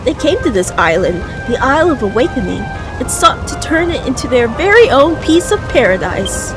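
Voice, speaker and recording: A woman's voice: sits high, big pitch swings, moderate pace, over music, rough recording. A woman voicing a kindly old spirit guide who stutters and repeats words with grandiose cheer in a video game. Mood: grandly pleased.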